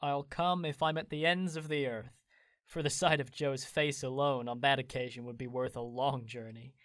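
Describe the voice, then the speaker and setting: An actor playing a cheerful, devoted young gentleman in an audio drama, playful in manner. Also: mid-range voice, steady tone, normal speed, no echo, studio quality, lightly acted.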